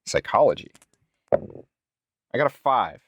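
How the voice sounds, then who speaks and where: Lively-pitched man playing a tabletop game. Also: slow, husky, dry recording, dull audio. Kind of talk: casual game-table chat.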